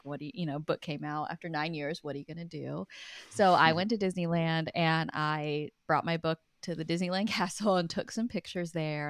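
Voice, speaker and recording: A woman speaking as a guest author in a casual, conversational interview, telling stories in an informal, animated way. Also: low-pitched, dry recording.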